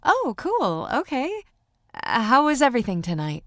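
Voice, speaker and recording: A polite, chirpy waitress in an audiobook, voiced by a woman narrator. Her talk is casual and accommodating, pitched up high, with a close dry sound. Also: huge pitch swings, moderate pace, fairly bright tone, no room sound, heavily acted. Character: polite, chirpy female restaurant server.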